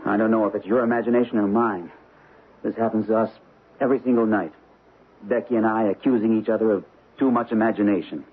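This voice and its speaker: An actor playing an uneasy, defensive husband in a vintage radio drama about a haunted house. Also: male voice, on the low side, steady tone, fast talker, husky. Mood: weary, exasperated. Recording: narrow-band old radio broadcast.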